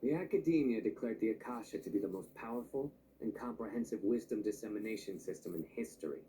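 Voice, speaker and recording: An actor playing a composed, earnest, knowledgeable man in a fantasy video game, explaining things in a measured way. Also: fairly low pitch, animated, warm tone, natural voice. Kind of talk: scripted game voice acting. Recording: game audio, distant, faint noise.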